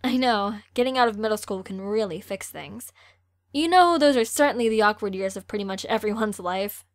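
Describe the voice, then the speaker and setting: A woman's voice: medium pitch, brisk, no echo, clean studio sound, close to an everyday voice. A woman playing a friendly, chatty, teasing teenage girl in an amateur audio drama.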